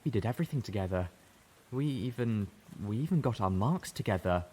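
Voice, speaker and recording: A man voicing a shaken young male zebra, speaking in halting, choked phrases. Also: lowish voice, expressive, mellow, slightly rough. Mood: grieving.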